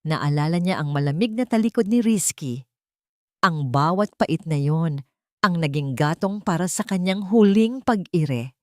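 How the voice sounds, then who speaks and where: A Filipino woman tells a scripted dramatic tale. Her voice sits on the low side. The recording is dry, with very little room sound. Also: animated, a little slow, no echo. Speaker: story narrator. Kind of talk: dramatic scripted storytelling narration.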